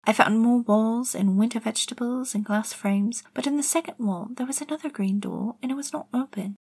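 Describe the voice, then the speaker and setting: A woman voicing a lonely, curious young girl, narrating her own story in the first person with a soft, hushed delivery. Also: fairly high pitch, fairly quick, vocal fry, slightly rough. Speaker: narrator and lead actor in an audio drama.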